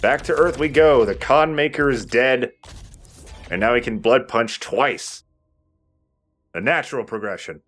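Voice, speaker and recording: A man's voice: mid-range voice, unhurried, long pauses, a bit bright, slight background noise. A man giving casual, explanatory let's play commentary as he plays, with some mild swearing. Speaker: let's play host and player. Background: video game music.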